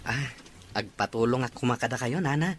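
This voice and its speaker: A man's voice: mid-range voice, expressive, a little fast. A man playing a devoted, hesitant young boyfriend in an Ilocano audio drama. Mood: earnest. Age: young adult. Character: devoted young boyfriend planning a surprise anniversary proposal. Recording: noticeable background noise.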